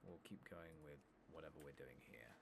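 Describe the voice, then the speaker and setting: A very low-pitched man murmurs softly with a gravelly creak, close to the mic. He chats along in an unhurried, rambling ASMR gaming style, over loud background noise. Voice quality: soft-spoken, breathy murmur. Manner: rambling, self-deprecating, unhurried chat. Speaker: ASMR video game streamer. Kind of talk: soft-spoken ASMR gaming commentary. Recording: clearly noisy, constant loud background ambience.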